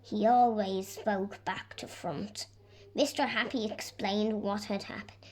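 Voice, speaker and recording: A woman reading a children's story aloud in an expressive, deliberate storytelling manner. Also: mid-range voice. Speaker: storybook reader. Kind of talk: scripted narration of a children's story.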